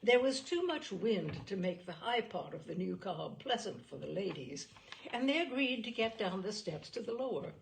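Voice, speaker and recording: Female audiobook narrator, mid-pitched with plenty of gravelly fry; expressive, deliberate delivery of period literary fiction. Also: slight background noise, rough recording.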